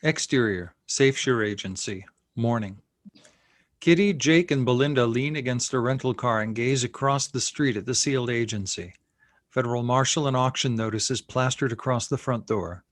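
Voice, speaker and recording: A man's voice: medium pitch, moderate pace, no room sound, clean studio sound. A man reading screenplay stage directions as scripted narration.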